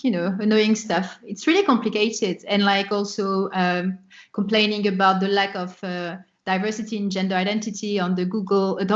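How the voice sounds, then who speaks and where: Female podcast guest, French accent, conversational, heard through a muffled, lo-fi connection. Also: mid-range voice. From France, born in Morocco. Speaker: podcast guest, community founder. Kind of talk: casual interview chat. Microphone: remote call. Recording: dull, lo-fi remote call.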